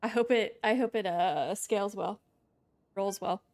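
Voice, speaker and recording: A woman's voice: medium pitch, a little slow, darkish tone. A young woman doing casual live gameplay commentary as a streamer, wry, rambling and playful. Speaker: game streamer. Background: game music.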